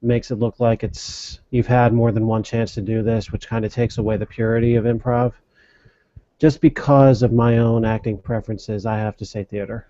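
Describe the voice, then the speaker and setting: A man in his twenties joins a casual panel and gives his views in a measured, thoughtful way. His voice is mellow and relaxed. The sound is dry and muffled. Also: medium pitch, no echo. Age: 20s. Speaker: guest panelist. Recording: dry, dull lo-fi audio.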